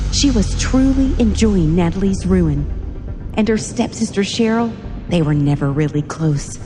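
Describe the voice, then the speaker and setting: A woman reading scripted story narration with suspenseful, dramatic phrasing. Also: lowish voice.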